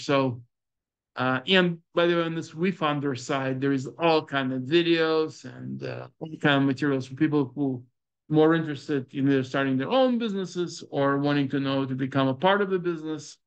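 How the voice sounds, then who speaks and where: Middle-aged male founder, Ukrainian accent, smooth resonant voice, halting delivery with many fillers, slightly muffled audio. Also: mid-range voice. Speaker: startup founder presenting. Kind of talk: conference presentation with audience questions.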